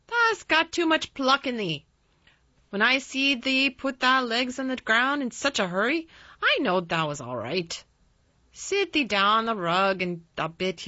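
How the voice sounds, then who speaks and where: A woman voicing a crabbed but tender old Yorkshire gardener, with a dry, slow, obstinate manner, in a storybook reading. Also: fairly high pitch, big pitch swings, sharp tone, no echo, highs cut off, voice pushed up, exaggerated voice. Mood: dry exultation.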